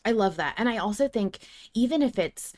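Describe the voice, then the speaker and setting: A woman's voice: medium pitch, animated, fairly quick, a little mellow. A woman hosting a podcast in casual fan chat, with an effusive way of talking. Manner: effusive, gushing. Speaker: podcast host.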